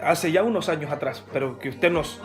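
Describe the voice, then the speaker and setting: A man's voice: a little high, moderate pace, faint music behind. A man hosting a church interview, asking questions formally with frequent pious interjections. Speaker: interviewer and host.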